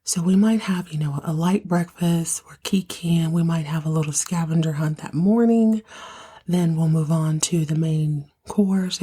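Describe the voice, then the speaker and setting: A woman in her thirties from the United States hosting a soap opera recap podcast, speaking in a hushed, half-whispered way because she has partly lost her voice. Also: fairly low pitch, breathy edge. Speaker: podcast host.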